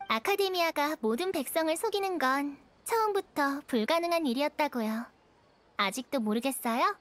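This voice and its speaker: High-voiced polite young student. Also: female voice, expressive, normal speed, fairly bright tone. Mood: accusing. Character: polite youngster student turning coolly accusing. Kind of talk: anime game voice acting.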